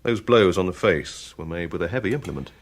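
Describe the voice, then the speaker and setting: An actor playing a police pathologist in a radio drama, giving findings in a precise, measured way. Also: male voice, low-pitched, lively intonation. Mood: clinical. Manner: measured, clinical.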